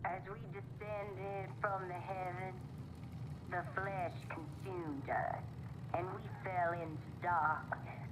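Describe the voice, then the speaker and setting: Slow, solemn female cult leader. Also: on the low side, fairly even intonation. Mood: solemn, reverent. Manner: measured, incantatory. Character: solemn, commanding female cult leader preaching to her flock. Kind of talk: slow ritual sermon, scripted game acting. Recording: noisy ambient background.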